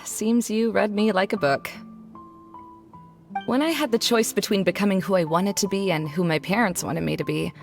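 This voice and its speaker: A woman's voice: mid-range voice, moderate pace, vocal fry, faint music behind, close to an everyday voice. A woman playing a weary young scholar. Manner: wistful, subdued. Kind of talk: video game voice acting.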